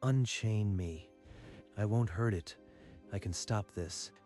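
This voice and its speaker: A man voicing a calm young man who hides that he is a sea emperor, steady and quietly commanding. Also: on the low side, takes it slowly. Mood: urgent. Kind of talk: manga dub voice acting. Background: faint music.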